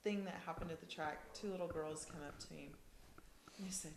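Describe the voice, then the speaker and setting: A woman from the United States, chatting casually in an interview, with many ums and lively storytelling. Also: fairly low pitch, fairly quick, creaky, echoey room, background noise. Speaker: interviewee, rider's partner.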